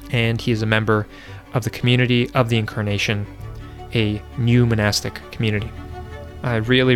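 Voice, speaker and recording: A man hosting a podcast, introducing a guest and asking questions in a relaxed way, often saying "uh". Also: mid-range voice. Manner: relaxed, with frequent "uh"s. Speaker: podcast host and interviewer.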